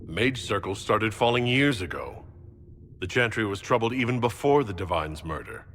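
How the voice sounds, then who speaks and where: A man's voice: on the low side, animated, moderate pace, a bit bright. An actor playing a composed, skeptical male hero in a video game, speaking in a dry, matter-of-fact way. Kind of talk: video game dialogue acting. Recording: noisy, long hall reverb.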